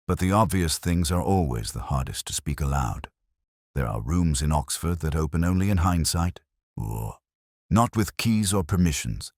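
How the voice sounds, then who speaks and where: A man performs a solemn detective inspector recounting a mystery in the first person. The voice is exceptionally deep, yet it rises and falls noticeably through deliberate, literary sentences. The sound is close and dry, and the temper stays brooding and measured. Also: no echo, full character voice. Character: brooding, measured male detective inspector narrating his case. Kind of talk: first-person scripted mystery narration, audiobook-like.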